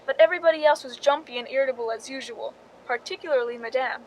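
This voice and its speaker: A woman's voice: high-pitched, quick pace, a little hiss or hum, low-quality recording. An actress playing a wry, knowing film stand-in who confides her story in a radio drama, in an understated way. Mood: confiding, wry. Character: wry, cool young woman, film stand-in and scheming narrator. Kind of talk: radio drama acting with confessional narration.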